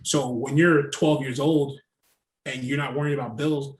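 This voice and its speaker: A man's voice: medium pitch, steady tone, normal speed. Rambling New man in an echoey room. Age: 30s. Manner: storytelling, rambling, with frequent "like" fillers. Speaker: guest. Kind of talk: casual podcast conversation. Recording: roomy echo.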